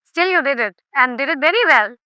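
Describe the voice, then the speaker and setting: A woman's voice: sits high, expressive, a little fast, pushed and bright, dry recording. A woman interviewer who asks short, prompting questions in loosely phrased English.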